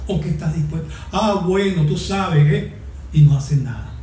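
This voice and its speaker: Un hombre venezolano de más de sesenta años que habla en un panel con énfasis, recalcando y repitiendo palabras. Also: male voice, fairly high pitch, mellow. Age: 60s. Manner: emphatic, deliberate with stressed repetition. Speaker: guest, environmental activist. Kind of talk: panel discussion, impassioned speech. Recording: echoey room with faint background noise.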